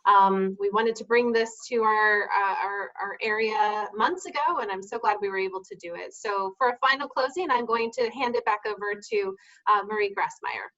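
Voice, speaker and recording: A woman gives grateful thanks to a team on a video call, hesitating often with filler sounds. Her mid-pitched voice sounds dull and lo-fi through the call. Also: normal speed, sharp tone. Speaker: event organizer.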